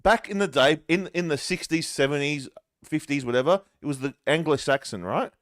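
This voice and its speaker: A man's voice: a little high, fairly quick, fairly bright tone. An Australian man co-hosting a casual podcast, talking in an emphatic, insistent, slangy way. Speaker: podcast co-host. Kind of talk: casual, opinionated chat.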